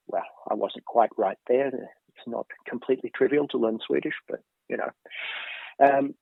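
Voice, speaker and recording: An Australian man in his fifties answers interview questions at an easy, thoughtful pace, often pausing on ums and restarting his sentences. His voice sits in a middle register and comes through a thin, phone-like line with a dry, close sound. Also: medium pitch, vocal fry, no room sound. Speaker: interview guest, professor.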